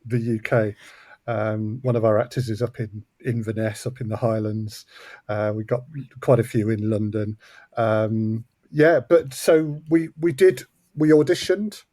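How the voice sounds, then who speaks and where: A man's voice: lowish voice, steady tone, measured pace, dark tone, dry recording. A man who makes audio drama, talking casually as an interview guest in a thoughtful, hesitant way, often repeating words.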